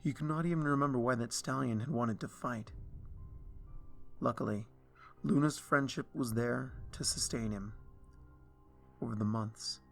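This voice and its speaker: Male reader for dark fiction, unhurried, hushed, over soft music. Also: medium pitch, vocal fry. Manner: slow, hushed, brooding delivery. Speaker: fanfiction reader. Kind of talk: dramatic story reading.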